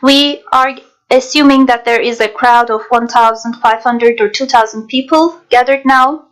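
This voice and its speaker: A woman narrator and interpreter reading scripted documentary voice-over in a measured, formal way. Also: a little high, fairly even intonation, a bit bright.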